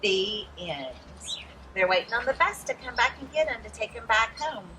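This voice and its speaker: A woman's voice: a little high, a little hiss or hum. A woman hosting a read-aloud, expressively reading a picture book to young listeners. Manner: expressive storytelling, addresses young listeners, pauses for picture asides. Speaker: children's read-aloud host.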